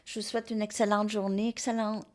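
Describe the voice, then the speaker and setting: A woman's voice: mid-range voice, flat delivery, a little fast. A woman giving a casual tarot reading, rambling and hesitant, sometimes dropping to near-whispers. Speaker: tarot card reader.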